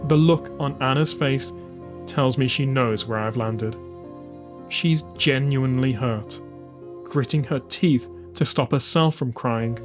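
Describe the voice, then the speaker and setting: A man narrates an audiobook in a dramatic, scripted style. His voice sits at a middle pitch and moves slowly, with music beneath it. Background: music under the voice.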